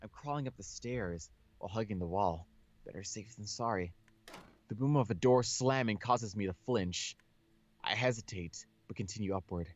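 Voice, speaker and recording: Low-voiced man reading aloud, dull mic. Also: moderate pace. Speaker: co-host playing and reading a visual novel aloud. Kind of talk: reading game text aloud with casual reactions.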